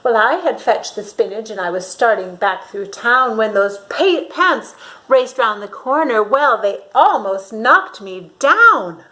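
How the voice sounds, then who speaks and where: A woman reading a storybook aloud to young children in an expressive, dramatic way, pausing to ask her listeners questions. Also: on the high side, rough recording.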